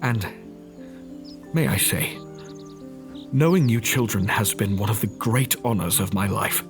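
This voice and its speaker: A man playing a gentle, grieving doctor in an audio drama, speaking earnestly and with measured care. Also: lowish voice, expressive, over music. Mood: tender, earnest. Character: gentle, grieving Doctor Watson, caring male friend.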